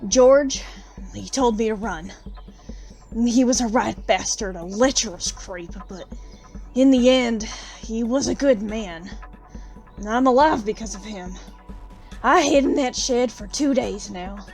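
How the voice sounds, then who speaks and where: A woman's voice: fairly high pitch, slow pace, darkish tone, soft background music. A woman playing a poor, plain-spoken country widow, bitter and unsentimental, in an audio drama. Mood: agitated.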